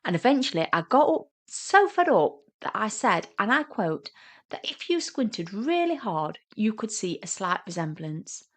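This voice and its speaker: A woman voicing a sarcastic, teasing and immature younger sister in a comic audio story, bickering and excitable. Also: mid-range voice, normal speed, pitched above the performer's own voice.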